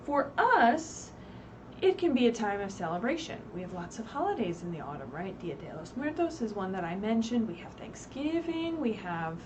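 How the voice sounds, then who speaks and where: A woman reading aloud expressively at a children's story time, pausing to put simple questions to her young listeners. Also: medium pitch, sing-song. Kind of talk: animated read-aloud with asides to young children. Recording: distant mic, faint background noise, livestream.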